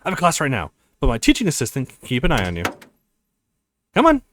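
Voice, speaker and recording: A man voicing a stern, busy woman professor with a brisk, condescending manner in a visual novel. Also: medium pitch, huge pitch swings, fairly bright tone. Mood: stern, conceding.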